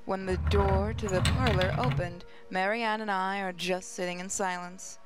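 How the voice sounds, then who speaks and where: A woman's voice: mid-range voice, steady tone, normal speed, over loud music. A woman hosting a gaming channel, reading story narration aloud with her own asides.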